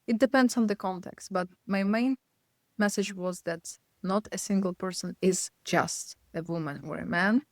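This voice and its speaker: A woman from Poland, a guest consultant, answering interview questions in a thoughtful, measured way, often checking in with "right?". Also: on the low side, creaky, no room sound. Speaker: guest, psychological safety consultant.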